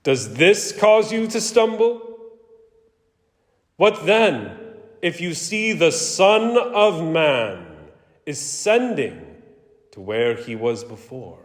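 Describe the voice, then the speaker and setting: Guy playing weighty authoritative teacher from scripture, really drawn out, pitch soaring and dropping, long echo. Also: very animated. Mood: challenging, solemn. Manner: declamatory, weighty, deliberate. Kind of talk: dramatic scripture reading. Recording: echoey hall with long reverb.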